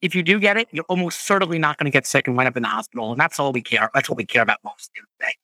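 A man's voice: mid-range voice, rapid-fire, bright, forceful tone, no room sound. A man who is a physician, patiently explaining medical topics as an interview guest over a video call. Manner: patient, explains with filler "um" and "right?".

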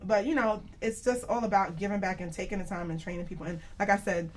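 A woman hosting a radio show, giving conversational business advice with expressive storytelling and acted-out quotes. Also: mid-range voice, fast talker. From New York, United States.

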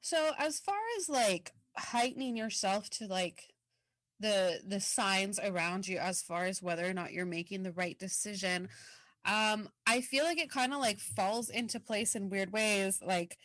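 Woman podcast co-host, casual and rambling, mid pitch, dry close mic. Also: measured pace, fairly bright tone, no room sound. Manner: chatty, filler-heavy, laughs easily. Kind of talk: casual podcast chat, reading listener mail.

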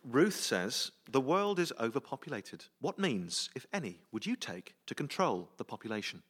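A man hosting a live comedy radio show, brisk and jokey as he runs audience questions on stage. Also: mid-range voice, very animated, breathy edge. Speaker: host. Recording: live audience laughter and noise.

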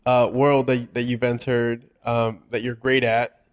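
A man hosting a casual interview, asking questions in a halting way and repeating words as he forms them. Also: medium pitch, little pitch movement, clean tone. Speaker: interview host.

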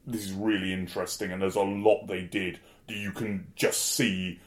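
A man's voice: sits low, level tone, normal speed. A man co-hosting a podcast, talking casually and enthusiastically about albums, with many fillers and restarts. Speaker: podcast co-host. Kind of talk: casual music discussion.

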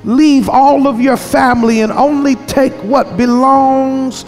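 A man's voice: extremely high pitch, steady tone, takes it slowly, darkish tone. A man preaching a sermon in an emphatic, repetitive cadence that sometimes slides into half-singing. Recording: reverberant hall, faint music behind.

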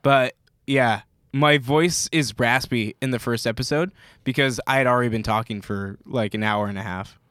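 A man's voice: medium pitch, moderate pace, a bit bright, no echo. A young man co-hosting a podcast, chatting casually, rambling with restarts and frequent laughs. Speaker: podcast co-host.

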